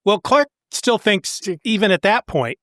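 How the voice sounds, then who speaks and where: A man with a distinctly high voice co-hosts a casual comic book discussion. He chimes in with wry, dry humor, recorded without any roominess. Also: very animated, a little slow, a bit bright, dry recording. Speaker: podcast co-host.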